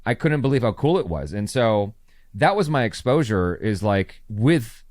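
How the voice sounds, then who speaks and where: Enthusiastic low-voiced man guest. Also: moderate pace, no echo, clean studio sound. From United States. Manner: animated, enthusiastic storytelling. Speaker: guest, reaction channel creator. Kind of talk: casual interview storytelling.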